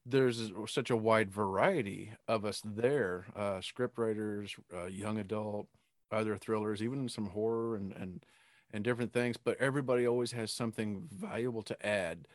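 Guy podcast host, lowish voice, really dry sound, casual and agreeable, kinda halting questions. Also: fairly even intonation, no room sound. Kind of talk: casual interview chat.

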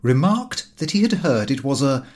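A man's voice: medium pitch, very animated, normal speed, a little mellow. A man narrating a comic diary novel as an audiobook reader, in a prim, fussy, self-important manner.

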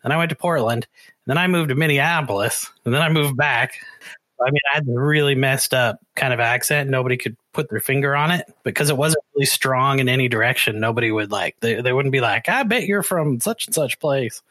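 A Canadian man hosting a casual podcast interview. His voice is bright and mid-pitched, recorded dry and close. He talks in a chatty, rambling way, telling things like stories. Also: a little fast, dry recording. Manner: chatty, rambling, animated storytelling. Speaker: podcast host.

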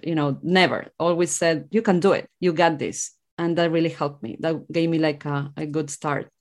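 A woman's voice: low voice, steady tone, moderate pace. A middle-aged woman from Argentina, a native Spanish speaker with an Argentine accent, telling her own story conversationally as a podcast guest.

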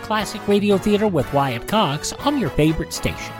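A man's voice: sits high, lively intonation, moderate pace, over loud music. A man hosting a radio show, mixing scripted links with informal asides.

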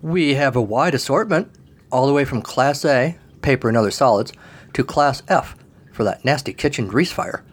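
A grandiose creature who sells fire, pushing every pitch with showman flair, as a man puts him on. His voice rides well above the narrator's own and swells with salesy enthusiasm. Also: expressive, normal speed, slightly rough. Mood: theatrical salesy. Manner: theatrical, pushy sales pitch.

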